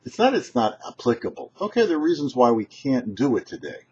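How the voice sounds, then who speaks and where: A very husky, rough-voiced man delivers a short religious lesson as a rabbi. His pitch moves up and down in a lively way, with deliberate, emphatic phrasing and dramatic pauses. The recording is slightly dull and muffled. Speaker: rabbi, show host. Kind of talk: short religious teaching talk.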